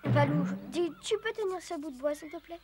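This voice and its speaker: Woman voicing a high-pitched earnest little boy. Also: expressive, brisk. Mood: matter-of-fact. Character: polite, earnest, independent little jungle boy. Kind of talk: animated cartoon dubbing. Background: faint music.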